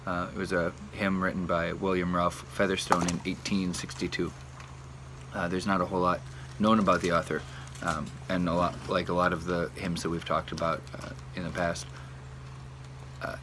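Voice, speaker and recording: Loud hum and noise sit behind a man in his thirties, recorded outdoors in a backyard. His voice is very low and stays quite even in tone. He talks informally, often stopping mid-sentence to find the next phrase. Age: 30s. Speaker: church worship leader presenting hymn history. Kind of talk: casual informal talk. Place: outdoors in a sunny backyard by a wooden hutch. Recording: noisy outdoor home recording, steady hum.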